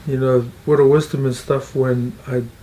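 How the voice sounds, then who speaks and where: A man's voice: medium pitch, level tone, moderate pace, dark tone, low-quality recording. A middle-aged man, earnestly sharing his experience in an interview, often repeating and restarting phrases. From Tonga, raised in New Zealand. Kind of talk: testimonial interview.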